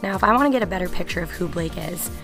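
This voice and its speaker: A young woman presents a software walkthrough. Her voice sits a little low and she explains things conversationally. Music plays clearly behind her. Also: expressive, racing. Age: young adult. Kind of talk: software demo narration.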